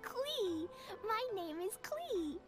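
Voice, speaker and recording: Super high bubbly little girl, sweet and eager, swoopy voice. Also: very animated, extremely slow, over music, exaggerated voice. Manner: chirpy, childlike, eager. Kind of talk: game cutscene voice acting.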